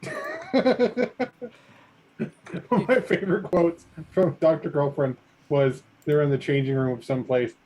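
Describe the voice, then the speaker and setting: A man's voice: fairly high pitch, unhurried, long pauses, mellow, rough recording. A man chatting casually as a player at a tabletop game. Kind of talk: casual chat.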